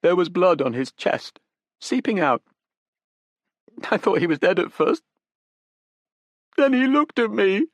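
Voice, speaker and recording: A man's voice: lively intonation, unhurried, long pauses, dry recording, highs cut off. A man voicing a distraught young Englishwoman in an audiobook, pleading and shaky. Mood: tearful. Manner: breathless, pleading, high and shaky. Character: distraught young woman, tearful and desperate. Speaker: murder suspect's daughter. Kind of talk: audiobook character voice.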